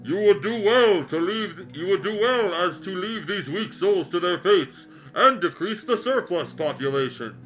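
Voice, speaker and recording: A man's voice: sits high, animated, a little slow, few pauses, fairly bright tone, voice pushed up, rough recording. A man voicing a cold, menacing masked spirit who speaks in a stately, unemotional way in a fan fiction reading. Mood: scornful. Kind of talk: fan fiction read aloud. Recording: music behind the voice.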